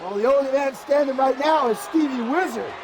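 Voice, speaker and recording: A man calling a wrestling match as play-by-play commentator, excitable and shouting at big moves, his voice going hoarse. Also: very high-pitched, a little fast, crowd noise, background noise. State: losing his voice. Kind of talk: live streamed commentary.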